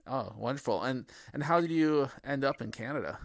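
A man's voice: medium pitch, lively intonation, fairly quick, gravelly, no room sound, clean studio sound. A man hosting a podcast interview, asking friendly questions with many fillers. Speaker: podcast host.

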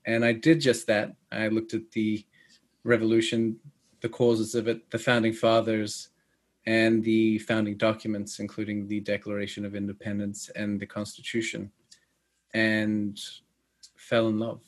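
Measured Australian man with a flat voice. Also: on the low side. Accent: Australian, close to British. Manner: measured, with many pauses and fillers. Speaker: guest. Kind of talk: podcast interview.

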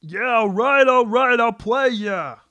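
Man playing a high, whiny put-upon sitcom husband. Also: animated, slow pace, pushed and bright, no echo, dull audio, heavily acted. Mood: irritated. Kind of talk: amateur sitcom table read.

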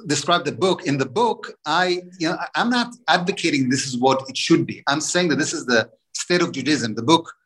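A man with a fairly high voice talks through the findings of his book as a webinar guest. He restarts sentences often and leans on fillers while he reasons aloud. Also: expressive, moderate pace, few pauses, fairly bright tone, poor audio. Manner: explanatory, many restarts and fillers. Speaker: author guest. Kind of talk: interview on a webinar.

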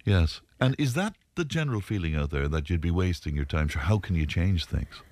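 Deep-voiced radio host. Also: male voice, expressive, brisk, darkish tone, dry recording. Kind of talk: radio interview.

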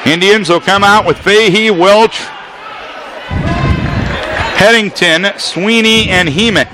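High-voiced radio play-by-play man. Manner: rapid, excited calls that rise to shouts on big plays. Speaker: play-by-play announcer. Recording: live gym broadcast with crowd noise.

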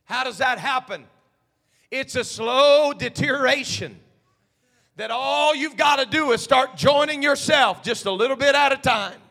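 A male pastor preaching a sermon, swinging from hushed asides to shouted climaxes. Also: very high voice, vocal fry.

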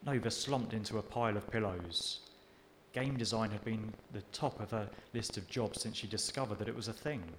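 A man reads from his own novel at a live literary evening. His narration is steady and unhurried, set in a lowish register. There is a faint hiss behind him. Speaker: author reading his fiction. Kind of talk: reading aloud at a live literary event.

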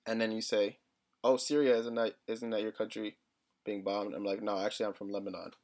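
A man's voice: fairly low pitch, flat delivery, brisk, a little mellow. A young man co-hosting a podcast in casual chat, talking hesitantly with lots of "like" and small laughs. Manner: hesitant, many "like" fillers, chuckles. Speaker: podcast co-host.

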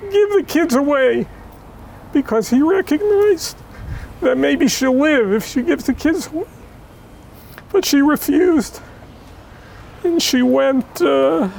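A woman with an American accent giving emotional family testimony in an interview, tearful, telling it in a halting, emphatic way. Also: high-pitched, expressive, creaky, darkish tone, a little hiss or hum, rough recording. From born in Israel, raised in Los Angeles. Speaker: interview guest, child of Holocaust survivors.

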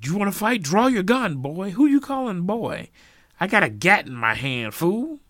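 A man acts out an irate parent in a melodramatic story. The voice is pushed up high and swings wildly, shouting scornful threats with heavy, stretched emphasis. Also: wildly swooping pitch, takes it slowly, no room sound, clean studio sound. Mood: enraged. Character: furious feuding parent, stubborn, scornful and threatening.

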